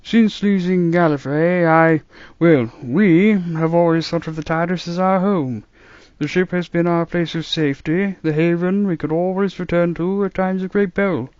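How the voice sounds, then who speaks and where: A man's voice: on the high side, moderate pace, a little mellow, no echo, muffled recording, voice pushed up, close to an everyday voice. A man voicing a fussy, worried elderly doctor who speaks to his granddaughter.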